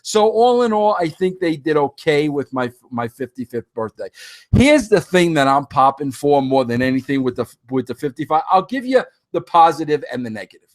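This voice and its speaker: A man's voice: a little high, big pitch swings, moderate pace. A man in his fifties hosting a podcast, talking casually and animatedly straight to his listeners, often rambling and restarting his sentences. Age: 50s. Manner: addresses listeners directly. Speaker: podcast host. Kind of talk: casual solo monologue.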